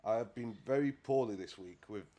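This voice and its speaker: A British man hosting a casual solo podcast, hoarse and nasal from a cold, chatting in a rambling, self-deprecating way. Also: fairly low pitch, brisk, warm tone. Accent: British English. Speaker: podcast host.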